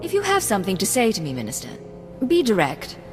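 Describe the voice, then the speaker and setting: An actress playing a cool, capable secret agent with a crisp, probing manner in a video game. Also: female voice, mid-range voice, wildly swooping pitch, fast, faint music behind. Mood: cool, direct. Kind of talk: video game drama acting.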